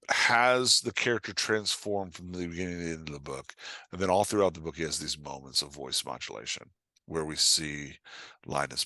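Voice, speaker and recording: A man's voice: low voice, pushed and bright, dry recording. A man giving a casual interview as a guest writing coach, explaining craft emphatically and checking in with the listener as he goes.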